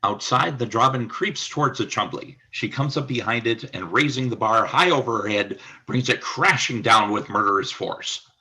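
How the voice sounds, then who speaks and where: A man's voice: medium pitch, moderate pace, hardly any pauses, pushed and bright, husky, low-quality recording. A man narrating a scripted radio drama, reading out the scene descriptions.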